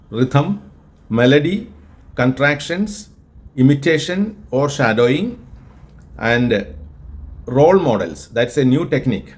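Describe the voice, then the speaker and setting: A man from Kerala, India, with a Malayalam-influenced Indian accent, teaching English in an earnest, deliberate way and often repeating himself. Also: medium pitch. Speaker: English tutor and group organizer. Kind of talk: spoken English lesson with an AI chatbot.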